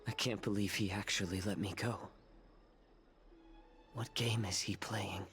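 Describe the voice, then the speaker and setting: A fairly low-pitched male fox-man healer from a video game. He sounds shrewd and composed, weighing each thought with wary care, over faint music. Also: steady tone, a little slow, fairly bright tone. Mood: wary, pondering. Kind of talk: dramatic video game voice acting.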